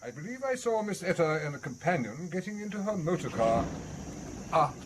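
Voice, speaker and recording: Household servant, deferential, formal, slightly high voice, lilting pitch, noisy radio drama recording. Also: male voice, sing-song, a little fast. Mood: formal, composed. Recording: clearly noisy, clicks and cricket-like sounds.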